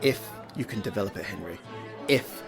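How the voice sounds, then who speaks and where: An actor playing a loyal, cautious Victorian gentleman and adviser, speaking in a measured, formal way. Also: fairly low pitch, gravelly. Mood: concerned, firm. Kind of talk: theatrical period audio drama acting. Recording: music playing under the dialogue.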